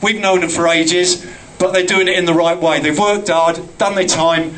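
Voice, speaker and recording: A man's voice: high voice, steady tone, normal speed, a bit bright, low-quality recording. A man with a Cockney accent giving an earnest, rambling thank-you speech at a community studio opening. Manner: rambling, earnest, self-deprecating. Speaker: project organiser giving a speech.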